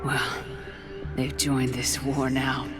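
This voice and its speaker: A woman's voice: rumbling low, level tone, takes it slowly, music in the background. A woman playing a battle-worn, wary soldier hero in a video game, with terse, weary, questioning delivery. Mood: probing, skeptical. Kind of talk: video game cutscene acting. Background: game score.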